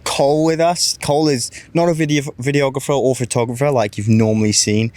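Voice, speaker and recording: A man's voice: mid-range voice, fairly even intonation, brisk, a little mellow, dry recording. A young man from the United Kingdom, hosting a casual podcast chat in an easygoing, rambling way. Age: young adult. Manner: easygoing, rambling, laughs often. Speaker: podcast host.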